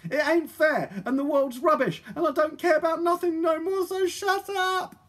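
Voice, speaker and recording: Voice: extremely high pitch, moderate pace, fairly bright tone, pitched above the performer's own voice. A narrator voicing a plucky, earnest little girl in a comic children's story, with indignant, ungrammatical country speech. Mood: sobbing, miserable.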